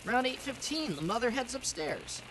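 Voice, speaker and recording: A woman's voice: on the high side, very animated, moderate pace, background noise. A woman playing a matter-of-fact, skeptical police officer in an old-time radio drama.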